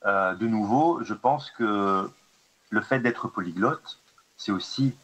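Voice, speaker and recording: Deep-voiced man, hesitant. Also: slow pace, a bit bright. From Lebanon. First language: Arabic. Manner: hesitant, many fillers and restarts. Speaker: artist, conference guest. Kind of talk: answering questions at a conference discussion.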